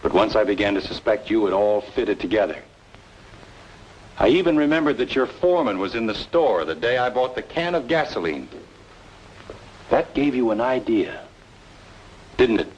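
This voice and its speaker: A man's voice: on the low side, lively intonation, normal speed, pauses often, darkish tone, muffled recording, slight background noise, natural voice. An actor playing a wronged, obsessive younger man, speaking with insistent intensity in an old-time radio noir drama. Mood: accusing. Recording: old radio recording, faint music.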